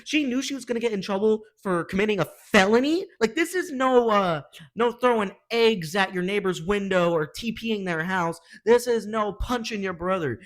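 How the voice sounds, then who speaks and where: A man's voice: high-pitched, fairly bright tone. A man hosting a reaction video with casual, slangy, opinionated commentary in an exasperated manner.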